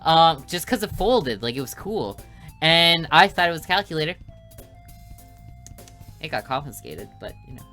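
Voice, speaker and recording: A man's voice: a little high, sing-song, moderate pace, pauses often, pushed and bright, faint music behind. A young man giving casual playthrough commentary as a video host, rambling with joking asides.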